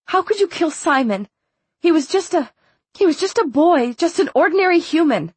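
A woman's voice: mid-range voice, expressive, normal speed, husky, no echo. A woman voicing a distraught, defiant teenage heroine in an audiobook, speaking with accusing emphasis. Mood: anguished.

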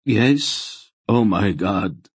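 A man's voice: low-pitched, expressive, slow pace, a little mellow, slightly rough, dry recording, exaggerated voice. A man voicing a humbled zealot in a fantasy audiobook, begging in a choked, reverent way. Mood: humble submission. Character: male religious zealot, trembling, humbled and pleading.

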